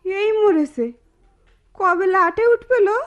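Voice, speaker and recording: An actress playing a sharp-tongued, teasing young village woman in a radio drama, with a rural Bangladeshi Bengali accent. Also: very high voice, slow. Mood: scornful. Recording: muffled radio drama recording.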